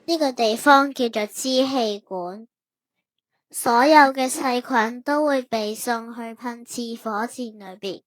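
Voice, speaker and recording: A woman's voice: on the high side, slow, no echo, rough recording. A woman with a Hong Kong Cantonese accent narrating a manga story expressively, like a storybook reader.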